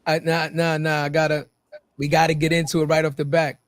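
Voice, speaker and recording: An emphatic man, co-hosting a podcast and breaking in with fired-up, slangy remarks. His voice sits somewhat high. It is recorded dry and close with no room echo. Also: completely flat delivery, brisk. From the Bronx, New York. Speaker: podcast co-host.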